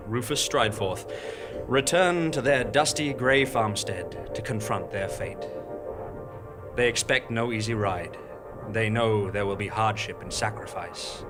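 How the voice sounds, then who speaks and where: A man delivering scripted, theatrical narration in a measured, portentous way. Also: on the low side. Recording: music bed behind live stage narration.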